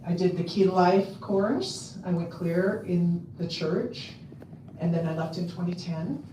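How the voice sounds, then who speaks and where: Loud woman speaking in an echoey hall. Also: fairly low pitch, unhurried, slight background noise. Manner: loud, chatty, many ums and restarts. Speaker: convention speaker. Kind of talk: casual public talk to an audience. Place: convention hall. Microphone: handheld mic on a stand. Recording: echoey hall, mic at a distance.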